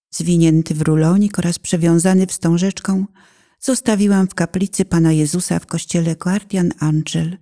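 A woman reading a scripted personal testimony as its author, in a solemn, measured way with deliberate phrasing. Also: on the low side, dark tone. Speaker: author and narrator.

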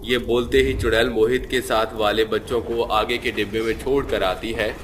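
Low-voiced male storyteller. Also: level tone, moderate pace, slight background noise. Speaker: narrator. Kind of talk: scripted story narration.

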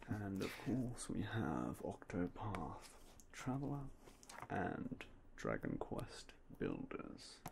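A young man whispering softly and politely as a game shop clerk in an ASMR roleplay, close to a binaural mic. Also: sits low, huge pitch swings, takes it slowly, gravelly, noisy background. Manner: quiet, whispery, polite shopkeeper patter.

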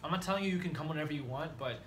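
This young man talks quickly in a relaxed, slangy way, his voice bright and forward. He sounds like he is in a small dorm room, with faint room hiss behind him. He laughs easily as he explains things. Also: on the high side. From United States. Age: 20s. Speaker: medical student guest. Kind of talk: casual chat.

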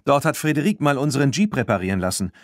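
A man voicing an impatient, easily annoyed girl rider in a children's audiobook, urgent and brisk. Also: medium pitch, sing-song, no room sound, studio quality, voice pushed up, natural voice. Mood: matter-of-fact.

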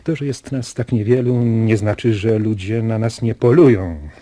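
An actor playing a grave, protective father in a radio drama, earnest and deliberate, with a husky voice. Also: fairly low pitch, mellow, natural voice. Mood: grave, warning. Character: protective, grave father keeping a family secret.

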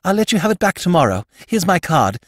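A man's voice: very animated, fast, no room sound, clean studio sound. A man putting on a prattling young lordling. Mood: flustered gushing. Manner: high-pitched, breathless, gushing. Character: prattling weedy young English lord.

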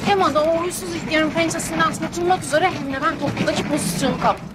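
An actress in her twenties playing a brisk, ambitious young city career woman in a naturalistic TV drama. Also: sits high, fairly even intonation, very noisy, natural voice. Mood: excited. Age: 20s. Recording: music under the dialogue.

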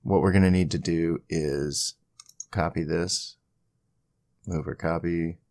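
A man with a low, gravelly voice hosts a casual software tutorial. He talks informally and rambles a bit, thinking aloud as he works through each step on screen. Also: fairly even intonation, takes it slowly, no room sound. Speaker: tutorial host.

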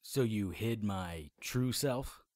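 A man playing a wry, defiant supernatural hero in a radio drama, with a husky voice and dry, noir-like storytelling. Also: fairly low pitch, lively intonation, slow, darkish tone, no echo. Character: wry, defiant male demon hunter and hero. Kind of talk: radio drama acting and narration.

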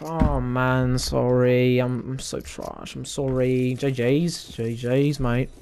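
A man putting on a British accent and chatting excitedly with a teammate over a game, saying mate all the time. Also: mid-range voice, slow, vocal fry, a little hiss or hum. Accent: put-on British. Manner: exaggerated British slang, says "mate" constantly. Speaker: gamer, video creator. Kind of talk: casual excitable gameplay chat with teammate.

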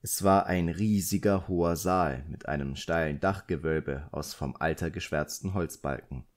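A man with a low-pitched voice narrates an audiobook. He reads scripted literary prose as a storyteller. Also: moderate pace.

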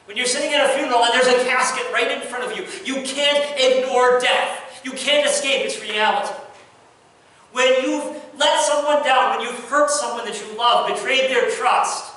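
A man in his thirties preaching a sermon from the pulpit, earnest, moving between hushed lines and raised emphasis. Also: extremely high pitch, normal speed. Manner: earnest, swings from soft hush to raised emphasis. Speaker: pastor. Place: large church sanctuary, at the pulpit. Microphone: distant pulpit mic. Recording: echoey church from far off.

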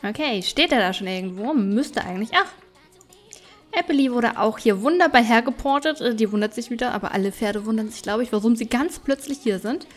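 A woman's voice: a little high, expressive, fairly quick. A woman gaming YouTuber giving casual, chatty let's play commentary, thinking aloud as she plays. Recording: music behind the voice.

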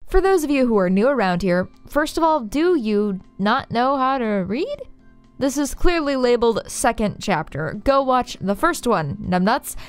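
A woman's voice: fairly high pitch, expressive, moderate pace, clean tone, dry recording. A woman hosting a scripted video essay, narrating in a wry, playfully sarcastic way. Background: faint background music.

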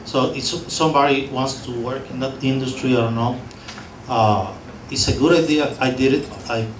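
A man's voice: medium pitch, steady tone, moderate pace. A man in his thirties on a panel with a handheld mic, giving practical advice with hesitant fillers. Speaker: panelist, engineer. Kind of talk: panel discussion. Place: classroom panel table. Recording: distant echoey room with noise.

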